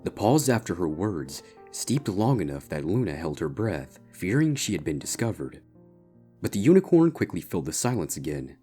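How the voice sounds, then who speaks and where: A man's voice: low-pitched, very animated, normal speed. A man reading a scripted story as its narrator. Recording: music bed behind the voice. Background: soft instrumental guitar music.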